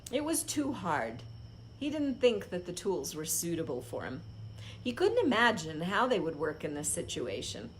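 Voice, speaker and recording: A woman reading a self-help book aloud as an impromptu live read-along host, phrasing it in short, deliberate groups. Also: lowish voice, huge pitch swings, slight background noise.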